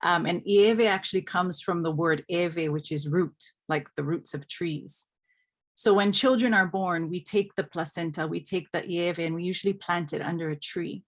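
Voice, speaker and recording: A woman's voice: fairly low pitch, normal speed. A woman from Hawaii speaking as a scholar on a panel, earnest and reflective, repeating phrases for emphasis. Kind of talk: reflective panel talk with storytelling.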